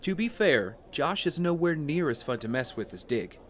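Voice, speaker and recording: A man's voice: on the high side, very animated, moderate pace, a bit bright, slight background noise. An actor playing a flustered, evasive young rich man in an audio drama, apologetic and hesitant. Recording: dramatic music behind the voice.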